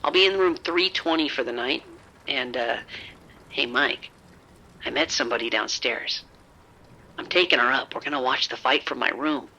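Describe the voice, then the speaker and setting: An actor playing a reckless gambler in an audio drama, cocky and breezy, always coaxing and persuading. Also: male voice, medium pitch, moderate pace, long pauses, sharp tone, a little hiss or hum, rough recording. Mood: dismissive, reassuring.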